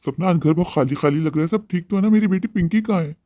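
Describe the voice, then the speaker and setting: Guy playing a worried devoted dad in a cartoon, talks fast, soft mellow tone, dry sound. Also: sits high, dry recording, lightly acted. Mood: concerned. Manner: worried, questioning. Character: anxious, devoted father searching for his daughter. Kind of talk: melodramatic Hindi cartoon moral story.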